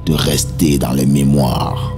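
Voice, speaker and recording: A man voicing a solemn, wise wrestling mentor in an animated film, speaking gravely and with weight. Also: very deep voice, slow pace, heavily acted. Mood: grave, instructing. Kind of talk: dramatic animated-film voice acting. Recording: film trailer mix under loud music.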